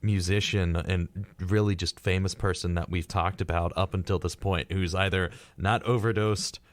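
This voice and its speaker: A man with a low voice chats casually as a radio co-host. His delivery is informal and conversational, and the sound is close and dry with no room around it. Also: fairly even intonation, normal speed, no echo. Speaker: co-host of a retrospective radio show. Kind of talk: casual chat.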